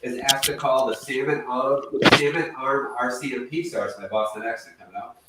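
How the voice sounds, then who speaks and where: A man hosting a live true crime interview show, chatty and apologetic, reading out tip line numbers slowly. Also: medium pitch, fairly even intonation. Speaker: host. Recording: very echoey, distant mic, faint noise.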